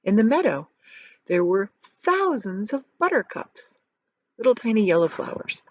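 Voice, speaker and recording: A woman reads a children's story aloud in a teacherly way. Her pitch moves expressively as she leans on descriptive words and explains to young listeners. The recording is dry and slightly muffled. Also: sing-song, measured pace, dry recording. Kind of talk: expressive storybook read-aloud with casual asides.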